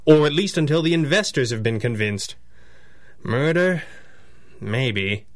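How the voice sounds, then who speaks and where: A man plays an unflappable masked crime-fighter from a 1930s radio serial. His voice sits at an ordinary male pitch. He reasons crisply and commands like a boss who is used to being obeyed. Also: animated, a little slow, natural voice. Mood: dry, pondering. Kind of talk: 1930s-set radio superhero drama acting.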